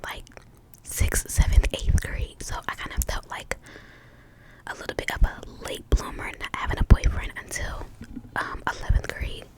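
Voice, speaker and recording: An American woman in her twenties telling a casual, chatty ASMR story, slightly short of breath, repeating words softly. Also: moderate pace. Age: 20s. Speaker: ASMR video host.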